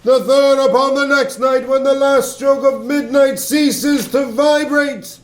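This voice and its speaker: An actor playing a mournful, foreboding dead businessman's ghost in a radio play, with drawn-out, wailing delivery. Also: very high-pitched, steady tone. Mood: solemn warning. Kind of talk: stage-style radio play acting. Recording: echoing voice over faint music.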